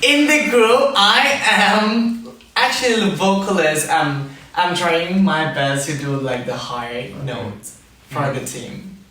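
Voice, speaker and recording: A young man from Thailand, a boy band vocalist, speaking slowly and searching for words. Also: on the high side, expressive, pushed and bright. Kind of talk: interview answers. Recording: echoey room, mic at a distance.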